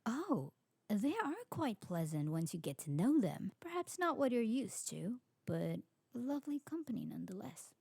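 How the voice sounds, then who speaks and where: A woman playing an elegant, sociable vampire aristocrat in an ASMR roleplay, refined and formal, with a soft husky voice. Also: medium pitch, big pitch swings, moderate pace, dry recording, full character voice. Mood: gracious. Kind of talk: scripted ASMR roleplay acting.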